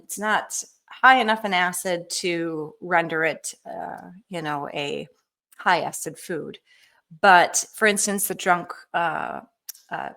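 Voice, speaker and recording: A woman hosting a live canning Q&A, chatty and enthusiastic, with frequent ums and a slightly hoarse voice. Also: mid-range voice, sharp tone. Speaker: canning cookbook author hosting a live show. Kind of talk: casual live Q&A cooking demo.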